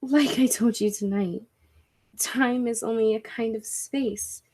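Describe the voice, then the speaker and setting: Casting: actress as confident eccentric woman professor, 1940s radio drama. Highish voice, unhurried, assured declamation. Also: a little mellow. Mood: proud, earnest. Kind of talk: 1940s radio play acting.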